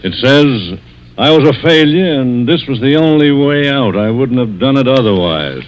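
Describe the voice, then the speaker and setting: A man's voice: mid-range voice, lively intonation, moderate pace, slight background noise, lightly acted. Stern, methodical male police inspector, firm delivery, dull lo-fi vintage radio drama sound actor. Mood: stern, accusing. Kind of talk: 1940s radio mystery acting.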